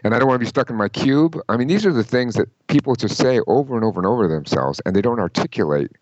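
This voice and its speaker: A man giving career advice in an interview, emphatic and lively, often quoting people in mock voices. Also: mid-range voice, fast talker, no room sound, highs cut off. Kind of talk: conversational interview.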